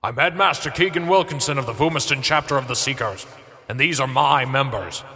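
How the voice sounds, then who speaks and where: A man's voice: mid-range voice, brisk, bright, forceful tone, in a large hall, voice pushed up, close to an everyday voice. A man voicing a commanding older male headmaster with a formal manner. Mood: urgent.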